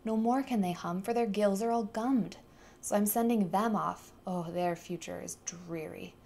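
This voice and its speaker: A woman's voice: medium pitch, moderate pace, darkish tone, close to an everyday voice. A woman voicing a small, grumpy old male forest creature who scolds indignantly. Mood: scolding.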